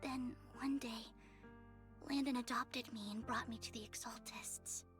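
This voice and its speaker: An actress playing a gentle, sorrowful young woman who speaks softly and politely in a video game. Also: a little high, steady tone, measured pace, over music, lightly acted. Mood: sad, confiding. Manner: soft, earnest, formal address.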